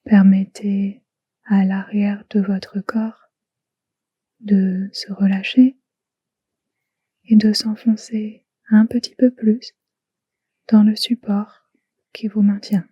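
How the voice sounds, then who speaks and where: A woman leads a guided relaxation in a soft, breathy voice. Her delivery is very slow and soothing, with short phrases and long pauses between them. The recording is close and dry, though a little muffled. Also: medium pitch, flat delivery, no echo. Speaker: yoga nidra guide.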